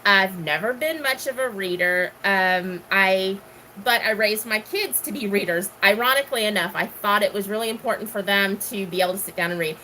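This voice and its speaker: A middle-aged woman chats casually to her viewers, working through a list of questions. Her voice is bright and forward, at an ordinary pitch for a woman. She rambles, filling pauses with ums and let's sees. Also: a little hiss or hum, poor audio. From Houston, Texas. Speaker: gardening vlogger. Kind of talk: casual chat answering questions.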